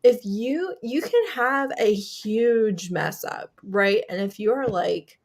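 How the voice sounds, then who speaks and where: A woman's voice: mid-range voice, animated, takes it slowly. A woman co-hosting a casual chat, giving her opinions in an emphatic, informal way. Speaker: book vlogger and co-host.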